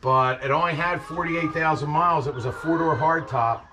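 A man's voice: fairly high pitch, moderate pace. A middle-aged man, plain-spoken and easygoing, talking about his cars in an old wooden barn and often laughing. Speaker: car collector, interviewee. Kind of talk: casual chat. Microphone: host's camera mic, a few feet away. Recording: noisy barn audio.

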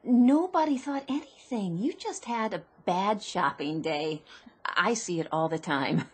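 Nervous, kindly shop clerk. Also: female voice, mid-range voice, expressive, a little slow, slightly rough. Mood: soothing. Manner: hesitant, soothing. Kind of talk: radio drama acting.

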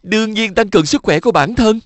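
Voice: medium pitch, fast, no echo, close to an everyday voice. A performer voicing a slightly lazy, envious schoolboy in a dubbed cartoon, whining and asking questions. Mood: whiny puzzled. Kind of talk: cartoon dubbing.

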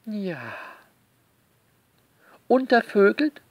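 A man speaks slowly in a fairly high, creaky voice, close and dry. He comments to his listeners with theatrical sarcasm and mock indignation, stretching key words for emphasis. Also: big pitch swings, dry recording. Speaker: author commenting on their own novel. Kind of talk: sarcastic spoken essay addressed to listeners.

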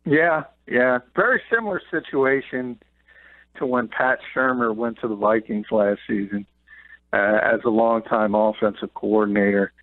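A man calls in over a thin, tinny phone line, his voice dry and close. He breaks down football news in a quick, chatty way with short laughs. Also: mid-range voice, no room sound. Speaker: NFL columnist guest. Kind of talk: sports radio analysis by phone.

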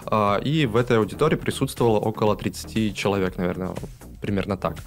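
A man in his thirties, a guest in a studio interview, explaining his tourism project with many pauses and fillers. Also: on the low side, soft background music. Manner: explanatory, with frequent hesitations. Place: radio studio. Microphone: studio mic on stand.

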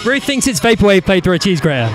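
Male musician interviewee, high quick voice, effusive casual banter into a handheld mic. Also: very animated, over music. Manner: jokey, effusive. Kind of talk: casual chat. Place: busy bar at night. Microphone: handheld microphone.

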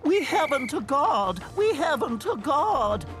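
Man putting on a high singsong lady railway coach. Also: very animated, takes it slowly, a bit bright, faint music behind, heavily acted. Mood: sobbing, distressed. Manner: high, wailing singsong chant. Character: emotional, fussy female railway coaches, children's storybook.

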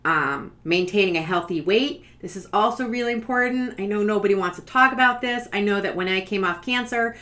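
A woman's voice: mid-range voice, fairly quick, few pauses, a bit bright. A woman in her forties giving an informal explanatory talk to camera, conversational and thinking aloud as she goes. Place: small furnished room at home, indoors.

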